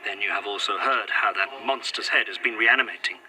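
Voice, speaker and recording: An actor playing a devoted, courtly Victorian gentleman in a gothic audio drama, speaking in a formal, earnest, old-fashioned way. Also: on the low side, brisk, a little hiss or hum, low-quality recording. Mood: tender, earnest. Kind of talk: found-footage gothic audio drama acting.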